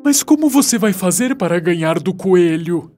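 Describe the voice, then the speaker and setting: A man's voice: sits high, lively intonation, moderate pace, over music. A man voicing a worried, doubting forest animal in a children's cartoon, with a questioning manner. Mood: doubtful, concerned.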